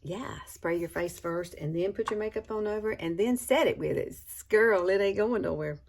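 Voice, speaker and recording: A woman's voice: medium pitch, normal speed, gravelly. A woman, chatting casually through a makeup tutorial and calling viewers girl and y'all. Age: 60s. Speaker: beauty vlogger.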